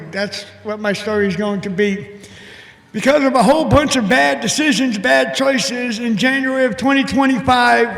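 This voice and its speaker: Booming high-pitched man telling his testimony. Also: creaky, fairly bright tone. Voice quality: big, booming voice. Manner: earnest, halting, emotional storytelling. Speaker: church testimony speaker. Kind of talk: personal testimony to a congregation. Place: church hall. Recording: echoey hall with long reverb.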